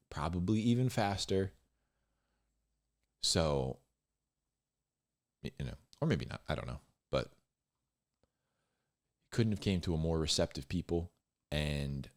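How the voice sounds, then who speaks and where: A man giving informal, off-the-cuff Bible commentary in a conversational, halting way, repeating phrases for emphasis. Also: a bass voice. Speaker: Bible storyteller and commentator, host.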